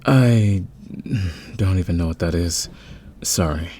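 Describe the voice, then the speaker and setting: A man in an audio roleplay playing a friendly, easygoing thief with a relaxed, encouraging, conversational manner. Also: low voice, takes it slowly, slight background noise. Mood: easygoing, concerned. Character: friendly, easygoing male thief, curious about teammates.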